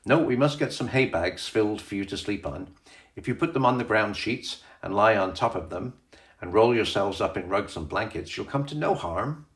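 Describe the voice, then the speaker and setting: A man reading the part of a kindly, practical, gently cautious mother in a children's storybook. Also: on the low side, expressive, moderate pace. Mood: gently firm.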